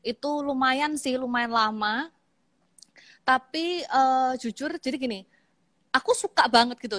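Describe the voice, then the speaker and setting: A woman in her thirties from East Java speaks with a Javanese accent, sitting for a casual live-stream interview. Her voice sits fairly high and sounds dry and close. She talks loosely, laughing easily and stumbling through fillers as she explains herself. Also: fairly bright tone, dry recording. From Malang, East Java, Indonesia. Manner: chatty, laughs easily, many fillers and restarts. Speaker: guest, makeup artist. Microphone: phone on an online live stream.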